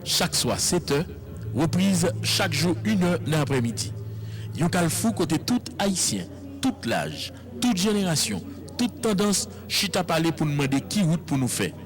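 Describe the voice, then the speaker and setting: A man's voice: a little high, big pitch swings, normal speed, soft background music. A man announcing a station promo for a show. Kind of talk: broadcast promo.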